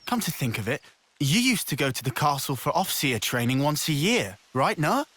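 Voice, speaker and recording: A man's voice: on the high side, sing-song, normal speed, fairly bright tone, slight background noise. A man playing an easygoing young soldier in a video game, talking casually.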